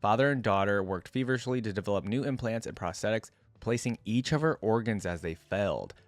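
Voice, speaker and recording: Low-voiced male reaction host, close dry sound. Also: fairly quick, no echo. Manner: thinks aloud, reacting with interjections. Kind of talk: casual reaction commentary mixed with reading lore aloud.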